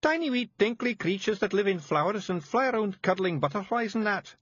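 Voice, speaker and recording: A clever little Scottish fairy, voiced by a man, chiming in with quick, high suggestions. His lively voice leaps about and is slightly husky. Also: dull audio, full character voice. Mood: eager.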